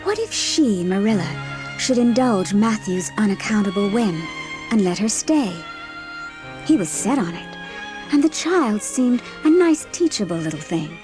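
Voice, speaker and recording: A woman's voice: mid-range voice, animated, unhurried, over music, natural voice. A woman giving scripted narration for a dramatised audiobook.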